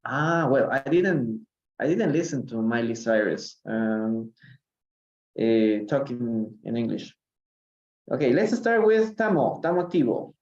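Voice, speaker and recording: A man from Peru runs a live online English reading class, encouraging each student and hedging with lots of fillers. His pitch rises and falls with plenty of movement. The audio comes through dull and lo-fi, like a livestream feed. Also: animated, takes it slowly, darkish tone. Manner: encouraging, hesitant with many fillers. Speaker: English teacher. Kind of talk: live online reading lesson, switching to Spanish. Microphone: livestream call audio.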